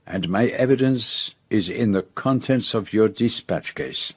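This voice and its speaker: A confident detective in a radio drama, his voice low and husky. He speaks with formal, measured assurance, setting out his case step by step. The sound is close and dry. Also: no room sound. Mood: assured. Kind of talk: radio drama acting, detective's denouement monologue.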